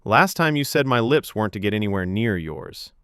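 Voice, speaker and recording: Young male lead, arrogant, intense, possessive, low-leaning voice, podcast drama a man narrating acts him. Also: lively intonation, brisk, fairly bright tone, clear, resonant tone, no room sound, studio quality. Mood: checking.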